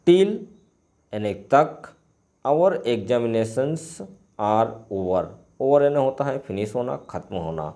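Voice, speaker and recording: A man with an Indian accent, a native Hindi speaker, teaching line by line and repeating phrases deliberately as he translates. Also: medium pitch, animated, dark tone. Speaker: English teacher. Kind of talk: line-by-line bilingual lesson, reading and translating.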